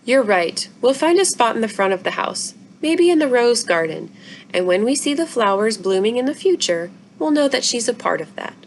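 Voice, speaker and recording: A woman's voice: on the high side, very animated, moderate pace, voice pushed up. Woman reading as a practical, matter-of-fact storybook mom. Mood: consoling. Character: practical, easygoing mother of a young girl. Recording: noisy room recording.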